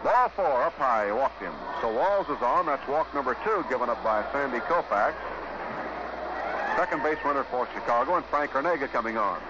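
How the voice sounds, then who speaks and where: A man's voice: mid-range voice, animated, highs cut off. Vintage 1950s baseball radio announcer, male, noisy. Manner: measured, clipped phrases with pauses.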